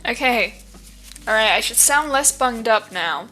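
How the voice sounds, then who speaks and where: A woman's voice: a little high, lively intonation, measured pace, over music. A woman streaming, chatting casually with viewers, rambling with lots of fillers and a stuffy nose from hay fever.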